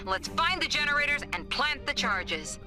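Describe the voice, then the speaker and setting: Wry, animated superhero. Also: female voice, high voice, moderate pace, over music. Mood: wry, confident.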